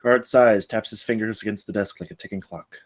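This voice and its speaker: A man's voice: on the low side, a little fast. A man reading stage directions as the narrator of a screenplay table read.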